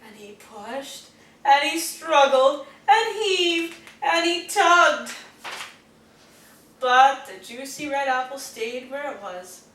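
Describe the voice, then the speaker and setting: A woman narrates children's storybooks in a high, very animated voice. She tells them slowly and expressively for young listeners, recorded in a roomy space at some distance from the mic. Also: slight background noise. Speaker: storybook reader. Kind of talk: children's read-aloud narration.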